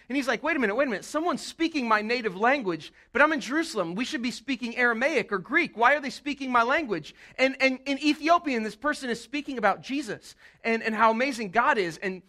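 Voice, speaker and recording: Male pastor, high-pitched and bright, casual animated sermon delivery. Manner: casual, animated preaching with quick asides.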